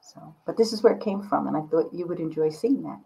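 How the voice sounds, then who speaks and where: An older woman with a husky voice, chatting casually and informally as an artist on a video call. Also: deep voice, fast talker, mellow, low-quality recording. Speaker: visual artist, guest. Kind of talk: casual chat explaining own artwork. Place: book-lined home studio, indoors. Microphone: computer mic on a video call.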